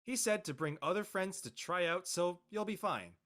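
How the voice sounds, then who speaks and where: A man playing an exasperated, reluctant high-school boy in an amateur script reading, with a whiny, protesting manner. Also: lively intonation, normal speed, bright, forceful tone. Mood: fed up. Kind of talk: amateur audio drama table read.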